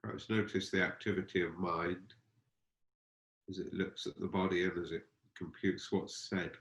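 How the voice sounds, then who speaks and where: A man leading a guided meditation as a teacher, speaking gently and unhurriedly, feeling out his phrases with pauses. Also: deep voice, little pitch movement. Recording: roomy, echoing space.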